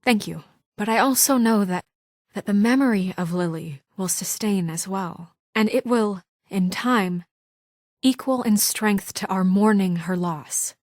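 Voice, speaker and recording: A composed, duty-bound young woman from a video game, voiced by an actress. She speaks in an earnest, controlled way, turning stern when crossed. Her voice sits in a middle pitch, recorded close on a dry studio mic. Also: unhurried, a little mellow, no echo, lightly acted. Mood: solemn.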